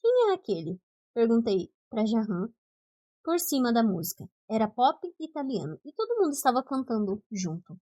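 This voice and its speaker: A Brazilian woman with a somewhat high-pitched voice reads a novel aloud. She narrates in the first person from a script, in an expressive storytelling way suited to an audiobook. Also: moderate pace, dry recording, muffled recording. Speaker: audiobook reader.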